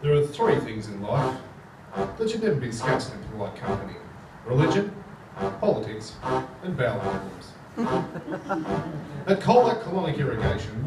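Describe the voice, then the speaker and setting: A man's voice: medium pitch, big pitch swings, normal speed. A man narrating in a melodramatic serial and spoof advert style. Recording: echoey theatre, distant mic, loud music.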